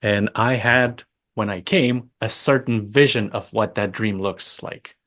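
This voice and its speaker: A man from Romania with a slight Romanian accent, answering interview questions in a measured, thoughtful, earnest way. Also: on the low side, a bit bright. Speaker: podcast guest.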